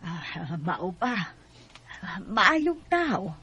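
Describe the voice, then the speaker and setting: A woman's voice: mid-range voice, sing-song, very slow, pushed and bright, breathy edge, full character voice. Older Bisaya mother, dull recording. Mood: approving. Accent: Cebuano Bisaya. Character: approving mother of a grown daughter, older. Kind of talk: Filipino radio drama acting.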